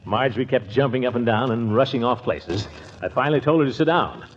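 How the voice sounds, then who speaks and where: An actor playing a pompous, fussy middle-aged uncle in a 1940s radio comedy, blustery and given to chuckles. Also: male voice, medium pitch, brisk, lightly acted. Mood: nostalgic, jovial. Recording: muffled, noisy 1940s radio recording.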